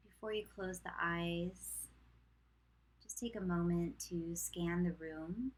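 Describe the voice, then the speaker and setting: Slow, husky, low-voiced woman guiding meditation. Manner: calm, slow, gentle cueing with long pauses. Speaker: yoga nidra teacher. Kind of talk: guided meditation instruction.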